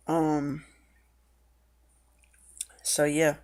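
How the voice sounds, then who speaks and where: A woman's voice: sits low, completely flat delivery, very slow, a little mellow. A woman giving a casual tutorial walkthrough, reading screen text aloud and then explaining it. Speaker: app reviewer and demonstrator.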